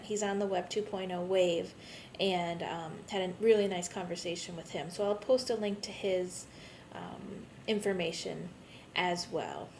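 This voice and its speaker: A woman, a bit tired, giving a conversational, rambling video update to her online students as their course instructor. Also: a little mellow. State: a bit tired, jet-lagged. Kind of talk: informal recorded video message to students. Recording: rumbly background noise.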